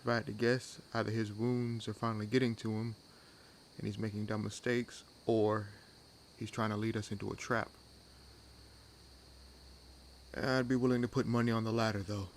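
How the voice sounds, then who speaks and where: Over a soft bed of night-forest insects comes a low, gravelly male vampire acted by a man. He is wry and world-weary, needling his companion with sardonic teasing. The delivery is intimate and unhurried, in the manner of an audio roleplay. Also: a little hiss or hum, lightly acted. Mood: wary, calculating. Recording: nature ambience laid under voice. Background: forest ambience with crickets and insects.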